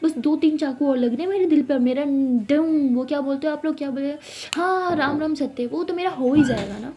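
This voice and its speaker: A woman's voice: high-pitched, normal speed, noisy background, voice pushed up, lightly acted. A woman voicing a sulky, self-pitying teenage girl who complains in a whiny, melodramatic way.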